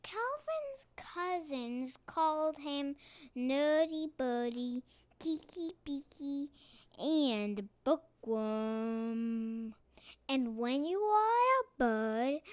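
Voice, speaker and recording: Child reader, high pitch, very slow pace, careful pauses, picture book read-aloud. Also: no echo. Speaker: storybook reader.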